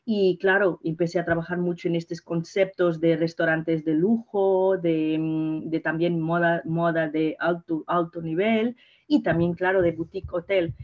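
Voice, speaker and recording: A woman's voice: fairly low pitch, fairly even intonation, moderate pace. Portuguese lady as podcast guest, accented, gushing and hunting for words, bit muffled lo-fi audio. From Portugal, born in Mozambique. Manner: effusive, searching for words. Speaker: podcast guest, hotel PR and social media director. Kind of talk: conversational interview answers.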